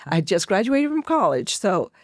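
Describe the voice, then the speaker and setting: Middle aged woman from india, podcast guest telling life stories, acts out little quotes, medium pitch, dry sound. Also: sing-song, normal speed, dry recording. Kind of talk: conversational interview storytelling.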